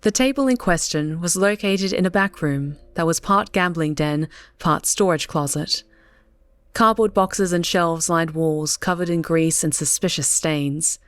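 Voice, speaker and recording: A woman's voice: lowish voice, measured pace, no echo. A woman reading scripted story narration as an audiobook narrator. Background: soft music.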